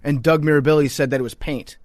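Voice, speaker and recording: Casual higher-voiced man telling sports stories. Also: fairly even intonation, fast talker, no room sound, studio quality. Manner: informal, profane, storytelling. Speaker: podcast co-host.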